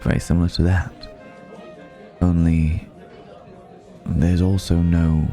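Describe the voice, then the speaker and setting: A man playing a suave, courteous vampire in an intimate ASMR roleplay, speaking in a refined, formal, gently amused way with lingering pauses. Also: deep voice, flat delivery, soft background music. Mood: reflective, confiding. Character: suave, courteous male vampire dining with a mortal guest.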